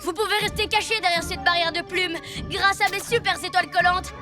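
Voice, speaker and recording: A woman's voice: very high-pitched, steady tone, fairly quick. A woman voicing a scheming, boastful young boy ninja villain in a French cartoon dub, with a pompous, taunting manner. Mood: defiant, menacing. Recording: loud music underneath. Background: loud cartoon score.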